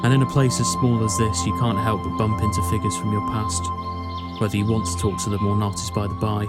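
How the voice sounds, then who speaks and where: Casting: male actor as brooding young student narrator, low and very even, measured, poetic film voice-over over loud music. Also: natural voice. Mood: wry. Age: young adult. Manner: measured, reflective, melancholy. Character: wistful young male student returning home. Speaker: narrator and lead of a short film. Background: prominent music.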